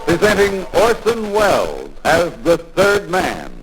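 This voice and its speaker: A man's voice: sits high, wildly swooping pitch, takes it slowly, low-quality recording. A man formally and theatrically announcing a 1950s radio drama. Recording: old radio recording over music. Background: music.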